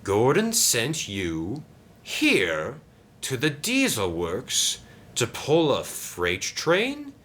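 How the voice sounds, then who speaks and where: A man's voice: mid-range voice, wildly swooping pitch, extremely slow, a bit bright, slight background noise, voice pushed up, heavily acted. A man voicing a cross, scheming male diesel engine in a children's story, curt and sly.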